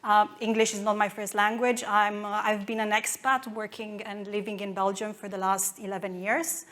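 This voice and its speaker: A woman's voice: medium pitch, fairly even intonation, normal speed, a bit bright. A woman in her thirties from Romania with a Romanian accent, giving a conference talk with many fillers and restarts. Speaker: conference speaker.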